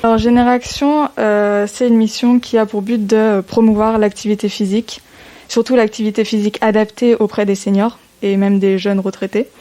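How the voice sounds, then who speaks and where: Young female volunteer, early twenties, soft dark timbre, mid pitch, informal interview explanations. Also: fairly even intonation, normal speed. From Bourg-en-Bresse, eastern France. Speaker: civic service volunteer.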